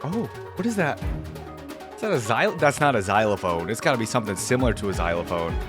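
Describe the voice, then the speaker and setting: A man's voice: fairly low pitch, lively intonation, quick pace. Casual, enthusiastic man reacting over music. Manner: informal, enthusiastic, frequent "like" and asides. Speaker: YouTube reaction host.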